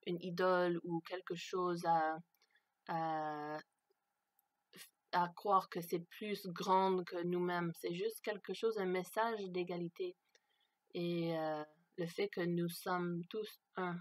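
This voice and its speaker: A woman for whom French is not her first language, speaking unscripted to camera, hesitant and searching for words with many fillers. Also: fairly low pitch. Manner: slow, thoughtful. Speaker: vlogger. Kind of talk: unscripted personal talk to camera.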